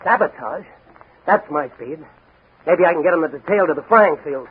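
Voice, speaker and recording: Guy doing a cocky young hero cop, old radio, swoopy slightly high voice, tinny sound. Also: expressive, moderate pace, natural voice. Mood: breezy. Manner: confident, slangy, dramatic. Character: bold, wisecracking young policeman and masked crime-fighting hero. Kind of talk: 1940s radio acting. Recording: tinny, hissy 1940s radio recording.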